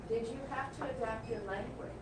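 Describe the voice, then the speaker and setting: Audience woman, tentative question, far from mic, very echoey with loud room noise. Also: fairly high pitch, fairly quick.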